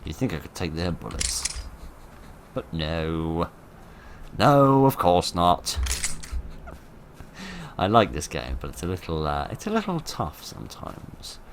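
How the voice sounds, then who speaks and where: A man commentating his horror game playthrough in a chatty, wry way, with a voice that is getting hoarse. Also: low-pitched, sing-song, slow pace, long pauses, slight background noise. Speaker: gaming commentator. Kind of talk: let's play commentary.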